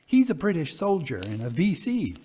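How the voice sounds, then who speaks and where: A man's voice: fairly high pitch, wildly swooping pitch, moderate pace, warm tone, slight background noise, voice pushed up. A man voicing an eager, wheedling New York society woman in a told ghost story. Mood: excited. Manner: wheedling, exclamatory.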